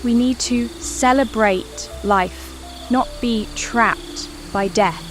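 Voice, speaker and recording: A woman playing an earnest, excitable and brave young girl in a dramatized audiobook. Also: on the high side, takes it slowly, soft background music. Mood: earnest, reassuring.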